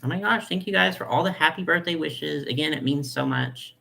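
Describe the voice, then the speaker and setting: A young man with a nasal voice hosting a casual live chat, reading comments and talking in a chatty, soft way with lots of fillers. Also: fairly high pitch, a little fast, vocal fry. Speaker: doll collector channel host.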